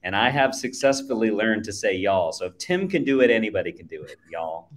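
Laid-back low-voiced man co-host. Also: expressive, a little fast. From Cleveland, Ohio. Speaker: podcast co-host. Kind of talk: casual chat on a video call. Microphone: video call webcam.

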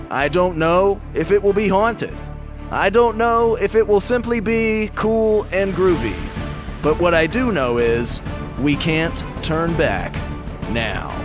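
A man plays an earnest young gator boy in a kids' storybook. He is solemn and self-important, every bit the pretend hero. His voice sits a little high, over music. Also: animated, unhurried. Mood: serious, heroic. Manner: serious, self-important play-heroics. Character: earnest young male gator playing at heroes.

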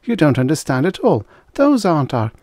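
A man's voice: on the high side, big pitch swings, unhurried, creaky, darkish tone, dry recording, clean studio sound, pitched above the performer's own voice, exaggerated voice. Man playing an insistent, exasperated spaceman. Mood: flustered, protesting. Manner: urgent, exasperated protesting. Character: frustrated, insistent male spaceman protesting earnestly.

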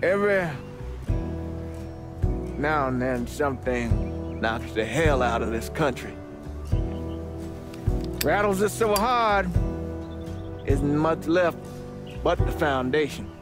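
An actor playing a reflective elderly priest, measured and wistful, as if interviewed for a documentary in a game. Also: male voice, a little high, expressive. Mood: wistful, solemn. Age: older. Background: music.

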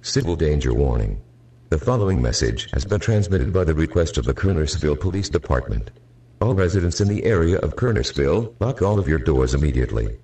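A synthetic man's voice reading a formal emergency alert in a flat, robotic manner, played back from a video. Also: very deep voice, a little fast. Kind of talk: formal emergency broadcast announcement. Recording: distant-sounding playback of a video.

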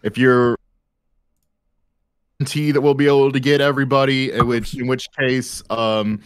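A man's voice: medium pitch, level tone, moderate pace, clean tone, dry recording. A man moderating a live question panel in a casual, conversational style. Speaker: panel moderator and cast member.

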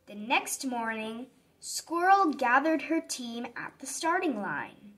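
A woman reading a children's story aloud in an expressive, dramatic manner for young listeners. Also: high voice, slow. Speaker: storybook reader. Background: background music.

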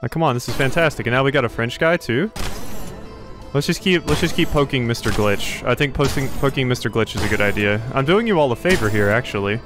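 A man's voice: medium pitch, animated, fast, over music. A cheerful young man giving laughing commentary while playing a game. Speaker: let's play host. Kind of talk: gameplay commentary.

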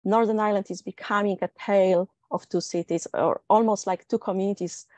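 A middle-aged woman from Poland with a Polish accent, speaking on a discussion panel in a measured, reflective way with many filler sounds. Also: mid-range voice, fairly even intonation, a little mellow, no echo.

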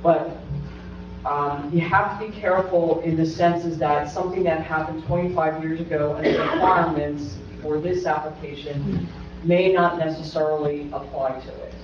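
A man on a township board asking questions at a public meeting, speaking haltingly with many restarts. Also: on the high side, fairly even intonation, noisy background. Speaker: board member. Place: large township meeting room. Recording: echoey room, distant and muffled.

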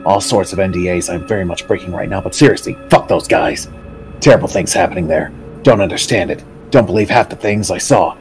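Male narrator, lowish voice, dramatic read of game text, music underneath. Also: animated, fairly quick. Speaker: co-host reading game text aloud.

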